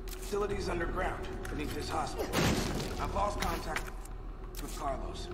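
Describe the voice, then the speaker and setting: An actor playing a gruff, worried, sardonic soldier in a video game cutscene. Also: male voice, fairly high pitch, normal speed, background noise, lightly acted. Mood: grave.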